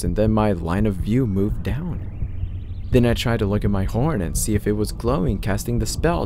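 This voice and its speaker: A man voicing a tormented, blinded unicorn pony who recounts his past in an intense, dramatic first-person story reading. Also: on the low side. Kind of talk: dramatic reading of fan fiction. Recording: loud music under the voice. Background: prominent background music.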